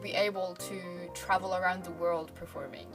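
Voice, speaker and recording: A woman's voice: lowish voice, steady tone, moderate pace, music in the background. A young woman talking casually and hesitantly in a group podcast, with plenty of fillers. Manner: rambling, hesitant, many fillers. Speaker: dance group member.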